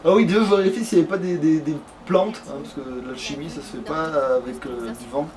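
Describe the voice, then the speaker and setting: A man's voice: on the high side, steady tone, quick pace, a little mellow, distant mic, slight background noise, rough recording. A man doing casual let's play commentary, rambling and grumbling in crude slang with plenty of swearing. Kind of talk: casual gameplay commentary chat.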